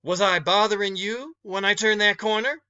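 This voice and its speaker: A big, no-nonsense woman from a storybook, acted out by a man reading aloud, commanding and folksy as she scolds. The delivery is bright and forceful, slightly high for a male reader, in a dry, close sound. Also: moderate pace, clear, resonant tone, no echo, dull audio. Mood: firm, scolding. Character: large stern older woman scolding a young thief.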